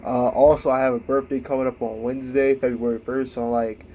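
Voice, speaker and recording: A man, musing about spiritual growth in a loose, rambling way and leaning on "like" between thoughts. His voice is mellow and dark and sits at a middling pitch, with a flat, even delivery. A faint hiss runs underneath. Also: a little fast. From United States. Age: late 20s. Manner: rambling, heavy on "like" fillers. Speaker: vlogger giving spiritual advice. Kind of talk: casual spiritual monologue.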